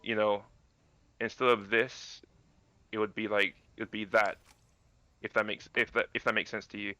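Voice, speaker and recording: A man with a naturally deep voice, a guest actor chatting casually about acting, rambling and restarting his sentences. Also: level tone, moderate pace, bright, forceful tone, no room sound, muffled recording.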